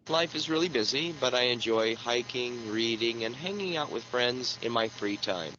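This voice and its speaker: A man reading a scripted textbook listening passage slowly and clearly. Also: medium pitch, expressive. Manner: slow, clearly enunciated reading. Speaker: listening exercise recording. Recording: lo-fi playback with background noise.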